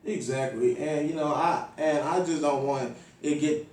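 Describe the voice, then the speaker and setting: A man's voice: fairly high pitch, unhurried, darkish tone, a little hiss or hum. A man talking as an informal, slangy interview host in casual hip-hop conversation. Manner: informal slangy, frequent "you know what I'm saying". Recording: roomy echo, distant from the mic.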